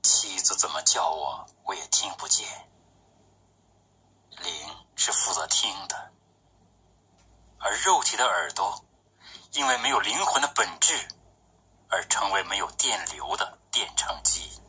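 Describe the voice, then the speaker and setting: Husky low-voiced man reciting poetry. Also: expressive, unhurried, long pauses, pushed and bright, rough recording. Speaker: poet reading his own work.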